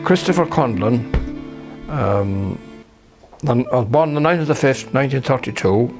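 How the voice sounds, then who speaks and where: A man's voice: mid-range voice, animated, normal speed, over music. Elderly Irish man from Sligo. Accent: Irish, County Sligo. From Ireland. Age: 80s. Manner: plain, homely storytelling with folksy phrases. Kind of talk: oral history recollection.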